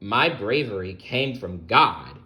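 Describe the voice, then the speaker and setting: A man's voice: on the low side, sing-song, slow pace, sharp tone, full character voice. A man voicing a timid, earnest little male spider in a children's storybook. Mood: grateful.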